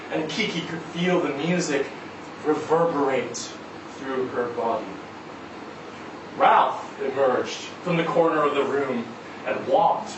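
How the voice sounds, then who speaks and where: A man giving a dramatic live reading of a short story, with measured, deliberate phrasing and pauses. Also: a little high. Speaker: story reader at a live literary event. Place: indoor event venue before a live audience. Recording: echoey room, distant mic, noisy background.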